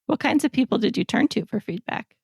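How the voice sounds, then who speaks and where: A woman's voice: fairly high pitch, lively intonation, fairly quick, gravelly, breathy edge, dry recording, studio quality. A woman hosting an interview podcast, asking questions in a conversational way.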